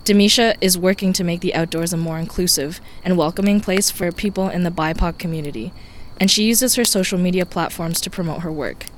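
A woman's voice: lowish voice, a little fast, a little hiss or hum. A woman host reading a scripted podcast introduction in an upbeat way. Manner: upbeat, read-out delivery.

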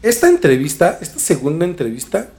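A man's voice: on the high side, huge pitch swings, a little fast, a little mellow. A young Mexican man telling a story as a podcast host in a casual, slangy conversational way. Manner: informal, slangy.

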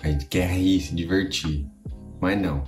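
A man's voice: sits low, steady tone, a little slow, darkish tone. A man with a Brazilian accent hosting a podcast, giving casual, slangy reaction commentary full of swearing. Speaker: podcast host. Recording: echoey room with music behind.

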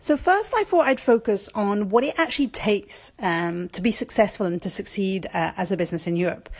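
A woman's voice: medium pitch, fairly quick. A woman from France and Brussels hosting a solo podcast, talking things through in a conversational, thoughtful way with frequent ums and self-corrections. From born in France, raised in Brussels. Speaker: podcast host. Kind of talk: solo podcast monologue on business.

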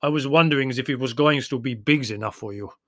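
A big Greek taxi driver from a storybook, acted out by a man, gruff and boisterous. He pushes his broken English out loudly and emphatically, boastful but eager to help. The sound is dry. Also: mid-range voice, quick pace, no room sound, close to an everyday voice. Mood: brusque, eager.

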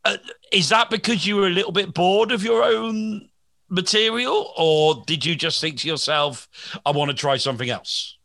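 A man's voice: sits high, normal speed, creaky, pushed and bright, no echo, clean studio sound. A man in his forties, a comedian hosting a podcast, chatting in a rambling, self-deprecating way with frequent restarts. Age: 40s. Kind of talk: casual podcast chat.

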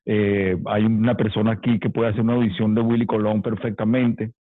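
Male podcast host, low voice, dry tinny phone-line sound, brief casual remarks. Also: level tone, brisk, dry recording. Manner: brief backchannels, casual questions.

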